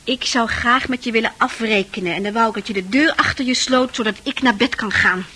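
A woman's voice: fairly high pitch, brisk, sharp tone, husky. An actress in a Dutch radio play, playing a worldly, coaxing woman of easy virtue with a chatty, knowing manner. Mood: impatient, businesslike. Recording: radio play with music behind.

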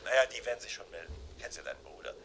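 A man's voice: low-pitched, big pitch swings, rapid-fire, gravelly, a loud crowd, exaggerated voice. An actor playing a chatty, easygoing, affectionate man on a call to his sweetheart, in a German radio crime drama. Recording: faint background noise and ambience.

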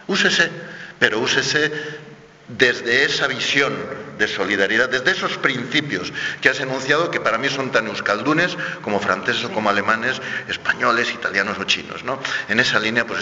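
A man from Spain speaking on a debate panel in an animated, colloquial, emphatic way. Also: mid-range voice, slight background noise. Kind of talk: round-table debate. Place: theatre school hall. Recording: echoey hall, dull sound.

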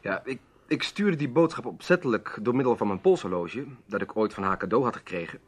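A shrewd, easygoing adventurer in a vintage Dutch radio play. His husky voice moves freely up and down as he explains things in a genial, chatty way. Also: fairly low pitch, expressive, fairly quick. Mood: puzzled, casual. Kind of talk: old Dutch radio drama acting.

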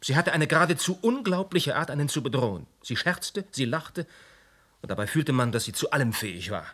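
A guarded, dignified suspect. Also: male voice, fairly high pitch, sing-song, fairly bright tone. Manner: measured, guarded. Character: reserved middle-aged man being questioned, guarded and dignified. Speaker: suspect Monsieur Cernik. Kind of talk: radio play acting.

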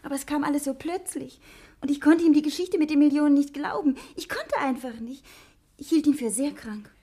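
An actress playing a devoted young wife in a German radio drama, earnest and emotional, with a breathy voice. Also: female voice, high voice, fairly quick, warm tone, close to an everyday voice. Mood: anguished. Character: distressed, devoted young wife seeking her husband. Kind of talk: 1960s German radio play acting.

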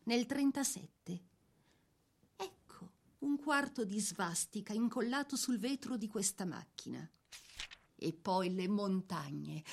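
A woman performing as a theatrical narrator, presenting a life story in scripted, measured phrases with pointed pauses. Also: medium pitch, darkish tone. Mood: evocative. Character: woman narrator presenting a dead poet's life through photos. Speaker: narrator in a staged biography of a poet. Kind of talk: scripted dramatic narration, showing photographs.

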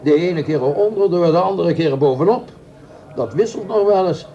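A man acting in a radio play as a smooth, scheming gentleman doctor who speaks formally and courteously, with sly pauses. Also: sits high, lo-fi sound. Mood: philosophizing.

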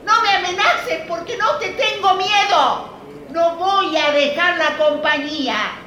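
An actress with an Argentine accent playing a defiant, indignant middle-aged housewife in a radio drama. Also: female voice, very high-pitched, a little slow, bright, forceful tone, full character voice. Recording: roomy echo, light background noise.

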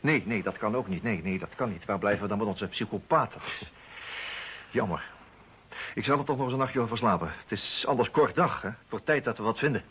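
An actor playing a dry, probing detective in a radio play, musing aloud and asking pointed questions. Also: male voice, lowish voice, lively intonation, fairly quick, fairly bright tone, muffled recording, slight background noise. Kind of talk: Dutch radio play acting.

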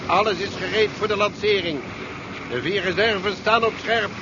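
A muffled 1970s radio play with some noise, in which an actor plays a male naval commander. His voice is slightly high, his delivery formal and dutiful. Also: fairly even intonation, close to an everyday voice. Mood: tense, dutiful. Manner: formal, measured orders. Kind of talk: 1970s Dutch radio drama acting. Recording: dull, hissy 1970s radio play recording.

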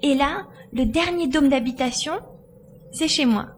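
Woman as a high-voiced helpful young girl. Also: a little slow, slight background noise. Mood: friendly. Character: Chara, helpful young girl, plain-spoken. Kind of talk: French audio drama acting.

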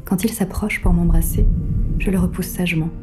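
An actress playing a mysterious, seductive virtual woman, a poised and self-assured guide with measured delivery in an audio drama. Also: on the low side, steady tone, music in the background, natural voice. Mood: sensual. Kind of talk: scripted audio drama, literary narration and dialogue.

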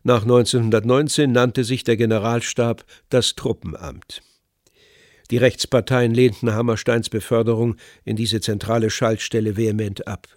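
A man narrating a scripted radio documentary in a measured, articulate, essayistic way. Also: medium pitch, flat delivery, a little mellow, no room sound, clean studio sound.

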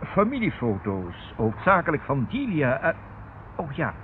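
A man's voice: medium pitch, wildly swooping pitch, unhurried. An actor in a radio drama playing a fussy, formal male solicitor with a precise, emphatic manner. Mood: fussy, explaining. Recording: tinny, noisy old radio recording.

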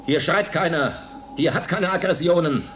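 An actor playing an irritable, sarcastic rebellious colonist in a science fiction radio play. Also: male voice, a little high, moderate pace, fairly bright tone, a little hiss or hum, lightly acted. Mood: angry outburst. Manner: sardonic, hot-headed.

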